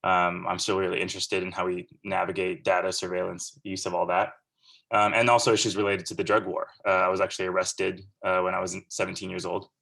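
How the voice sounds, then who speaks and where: A man in his thirties speaks in a low, gravelly voice with a fairly flat, even delivery. He talks in an earnest, conversational and rambling way, giving a guest lecture over a video call. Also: fairly quick. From Central California, USA. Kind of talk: casual lecture.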